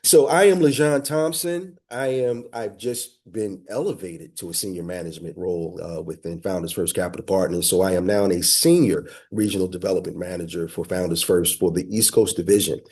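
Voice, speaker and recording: A man's voice: sits low, steady tone, a little fast, hardly any pauses, a little mellow. A man with sinus congestion and a slightly nasal voice, giving an affable, upbeat business webinar presentation over a video call. He leans heavily on filler words.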